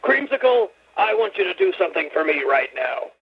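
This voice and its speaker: An actor playing an irate, crude record-label boss who barks sarcastically down a phone line in a comedy radio play. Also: male voice, a little high, very animated, fairly quick, creaky, breathy edge, full character voice. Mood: blustering, sardonic. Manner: bullying, sarcastic barking. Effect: telephone filter. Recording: muffled telephone-style sound.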